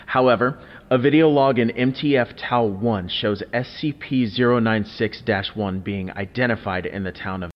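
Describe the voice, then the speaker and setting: A man's voice: medium pitch, moderate pace, a little hiss or hum, close to an everyday voice. A man playing a formal, clinical researcher reading out a scripted classified incident report in an audio drama. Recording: dull sound with long hall reverb.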